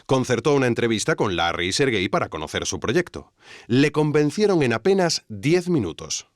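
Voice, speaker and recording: A man's voice: medium pitch, very animated, moderate pace, no room sound, studio quality, natural voice. A man playing a wry investigative narrator, reading scripted documentary narration in an audio drama. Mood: wry storytelling. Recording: faint music bed.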